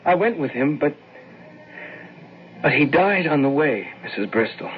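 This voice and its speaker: A man's voice: a little high, big pitch swings, unhurried. A man acting as a kindly, anxious minister in an old-time radio drama, speaking hesitantly and earnestly. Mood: grave, halting. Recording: tinny, hissy old radio broadcast.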